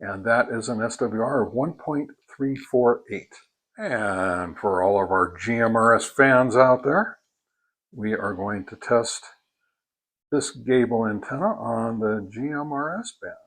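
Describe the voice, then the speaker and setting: An older American man giving a casual, unhurried product review, explaining things step by step. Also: mid-range voice, a bit bright. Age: older, 60s or above.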